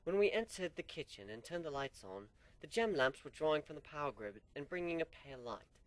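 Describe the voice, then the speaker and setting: A man narrating a fan story in the first person as an amateur dramatic reading, animated and sinking low for suspense. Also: brisk, no room sound, rough recording. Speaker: story reader.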